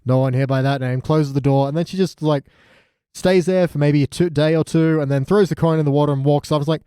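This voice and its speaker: A man with a somewhat high-pitched but mellow voice, recorded close and dry. He chats as a podcast co-host in an informal, jokey style, slipping into imagined lines as he goes. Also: steady tone, fairly quick, clean tone, dry recording. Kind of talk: casual chat reviewing a TV show.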